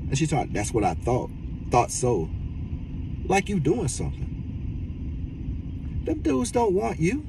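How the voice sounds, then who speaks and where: A man's voice: huge pitch swings, a little slow, gravelly, pauses often. Blunt middle-aged man ranting from his car. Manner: blunt, profane, rising exasperated emphasis. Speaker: reaction vlogger. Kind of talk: casual commentary rant. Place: inside a parked car. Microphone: phone camera at arm's length. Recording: car rumble and street noise, distant mic.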